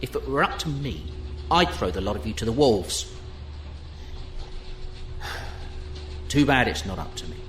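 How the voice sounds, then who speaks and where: Scornful officer in echoey room. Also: male voice, medium pitch, big pitch swings, measured pace, pauses often, fairly bright tone. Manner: clipped, scornful. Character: stern, contemptuous male facility officer, ex-fleet veteran. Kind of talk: science fiction audio drama acting. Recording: roomy echo with clear ambient noise.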